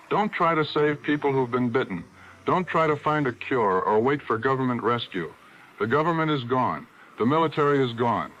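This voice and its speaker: A man's voice: mid-range voice, a bit bright, lightly acted. A grim, emphatic radio man, played by a male actor, warning the last survivors of an apocalypse. He sounds urgent and hard-bitten on a dull old-radio recording with faint noise. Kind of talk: 1940s radio drama acting.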